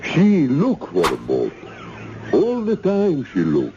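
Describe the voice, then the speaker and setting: A man's voice: mid-range voice, huge pitch swings, takes it slowly, exaggerated voice. An actor playing a big, sly, courteous island man in his forties with a Caribbean accent, in an old-time radio drama. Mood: cagey, matter-of-fact. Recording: muffled, noisy old radio recording.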